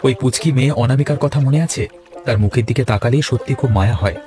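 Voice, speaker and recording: Male actor as kindly merchant big brother, earnest, fast delivery, cartoon dialogue, music clearly behind. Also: medium pitch, lightly acted. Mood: compassionate, earnest. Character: kind-hearted, prosperous elder-brother merchant. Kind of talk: Bengali fairy-tale cartoon dialogue.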